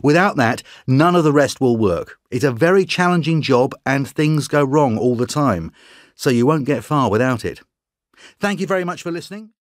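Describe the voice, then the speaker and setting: A man speaking as a sports trainer in a measured, explanatory scripted talk for an exam listening track. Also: mid-range voice, expressive, no echo, studio quality.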